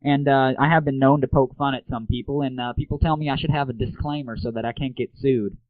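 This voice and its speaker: A man talks like a casual comedy radio host, informal and slangy. His pitch is average. The sound is dry and narrow, like a phone line. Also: steady tone, fast talker, no echo. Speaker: internet radio show host.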